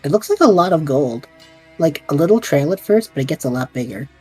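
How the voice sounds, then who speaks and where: A woman's voice: sits low, a little fast, breathy edge, faint music behind, poor audio. A woman voicing an eager, scatterbrained young male adventurer who jokes his way through danger, in a fan audio drama. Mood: excited. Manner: excitable, joking, reckless.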